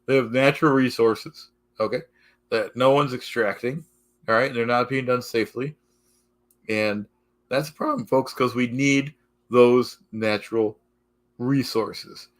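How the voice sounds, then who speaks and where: Hoarse man talking on a live stream. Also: mid-range voice. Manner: repetitive, keeps saying "folks" and "okay"; halting, restarts often. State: losing his voice. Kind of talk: casual live-stream monologue.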